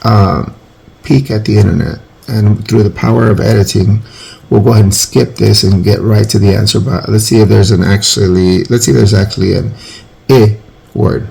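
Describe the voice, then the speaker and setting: Man, 40s, teacher, rambling casual lesson, very gravelly, husky. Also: fairly low pitch, fairly even intonation, normal speed, darkish tone, rough recording. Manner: rambling, self-correcting, informal.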